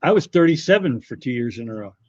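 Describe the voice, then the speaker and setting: A man's voice: medium pitch, expressive, fast, dry recording. A middle-aged man hosting a casual livestream, rambling and joking as he reads and answers viewer comments. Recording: dull, slightly muffled audio.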